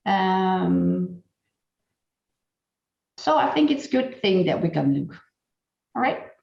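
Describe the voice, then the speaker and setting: A woman giving an online presentation and interviewing a colleague, halting and full of fillers. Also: medium pitch, big pitch swings, gravelly. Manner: hesitant, many fillers and restarts. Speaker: presenter and recruiter. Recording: dull, roomy online call audio.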